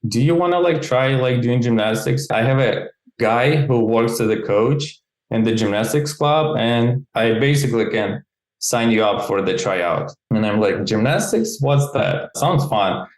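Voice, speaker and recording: A man's voice: mid-range voice, a little slow. A man from Ukraine with an Eastern European accent, speaking casual non-native English as an interview guest, peppering his informal talk with "like". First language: not English. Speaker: interview guest, gymnastics coach and QA engineer. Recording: roomy, echoey sound.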